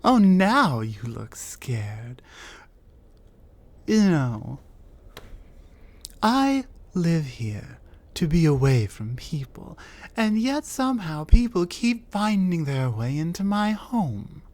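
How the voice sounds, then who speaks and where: Slow-talking territorial troll woman, scornful and commanding, ASMR roleplay, voiced by a woman. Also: sits low, very animated, darkish tone, exaggerated voice. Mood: cold, mocking. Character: territorial female troll, huge, reclusive, cold. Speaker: voice actor.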